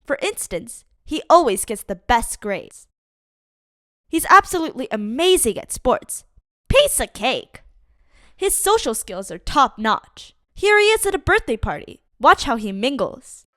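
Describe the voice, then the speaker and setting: A woman giving a lively, expressive read-aloud of a children's picture book. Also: sits high, measured pace, no echo, studio quality. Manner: lively, expressive reading for kids.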